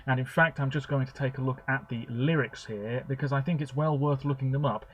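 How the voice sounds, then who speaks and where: Male video blogger with a middle-pitched voice, casual and opinionated, who rambles with plenty of fillers. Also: fairly even intonation, fairly quick, dull audio. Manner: chatty, opinionated, with frequent fillers. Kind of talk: rambling commentary.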